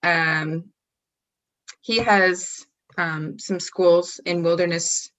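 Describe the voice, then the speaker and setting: A woman guest who works as a wellness coach, telling a story casually in conversation and often pausing on "um" and restarting her sentences. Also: lowish voice, steady tone, a bit bright, rough recording. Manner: hesitant, many ums and restarts.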